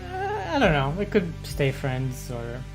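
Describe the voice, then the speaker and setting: A woman chatting casually as a co-commentator on a let's play, in a tentative, low-key way. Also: huge pitch swings, unhurried, gravelly, over music, poor audio. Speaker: game co-commentator.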